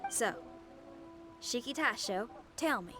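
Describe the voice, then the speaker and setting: A woman's voice: on the high side, expressive, slow pace, sharp tone, exaggerated voice. A woman voicing a confident, free-spirited young female musician in a video game, folksy and easygoing in manner. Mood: theatrical, assured. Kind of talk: video game character acting. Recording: music playing behind the voice.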